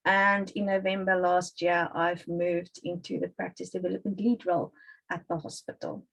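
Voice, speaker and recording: A woman's voice: on the low side, little pitch movement, normal speed, gravelly, poor audio. A woman with a South African accent, a nurse presenting on a video call webinar in a friendly, chatty storytelling way. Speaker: guest speaker, ICU nurse.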